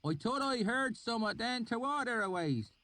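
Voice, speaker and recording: Suspicious rural swineherd with a heavy country dialect, read by a man, pitched well up, storybook reading. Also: expressive, moderate pace, heavily acted. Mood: gruff. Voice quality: thick rustic dialect. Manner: loud hailing, drawling. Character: weathered older male swineherd, gruff and suspicious.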